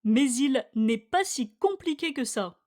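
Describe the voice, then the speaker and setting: A woman with a high voice reads scripted lines for a language lesson. She goes very slowly, phrasing each line with care so that learners can repeat it. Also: expressive, darkish tone. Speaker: language teacher. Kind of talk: scripted dialogue read slowly for listen-and-repeat practice.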